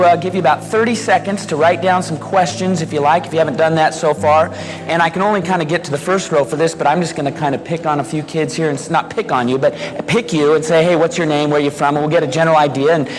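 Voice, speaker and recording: A man hosting a question session with young audience members, friendly and chatty, joking as he moves through the rows. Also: a little high. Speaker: event host. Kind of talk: moderating audience Q&A. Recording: hall reverb, crowd, music.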